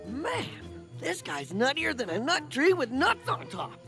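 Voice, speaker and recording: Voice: high-pitched, wildly swooping pitch, a little slow, heavily acted. An actor playing a childlike, boastful, dim-witted jungle man in a cartoon, speaking naively. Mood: defensive. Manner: naive, speaks of himself in third person. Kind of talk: exaggerated cartoon voice acting. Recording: music behind the voice.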